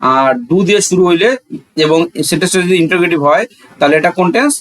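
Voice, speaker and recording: A man's voice: sits high, expressive, fast talker, a bit bright, no echo. A man with a Bangladeshi accent teaching in an explanatory, didactic way, asking rhetorical questions and repeating key phrases.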